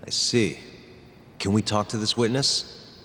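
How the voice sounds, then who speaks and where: An actor playing an earnest, determined young lawyer in a video game. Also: male voice, fairly low pitch, fairly even intonation, unhurried, sharp tone, slight background noise.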